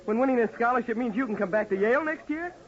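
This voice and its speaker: A man's voice: very high voice, lively intonation, fast talker. An actor playing an eager, loyal young college man in 1940s radio drama style, boyish and inquisitive. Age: young adult. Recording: dull old radio recording, faint noise.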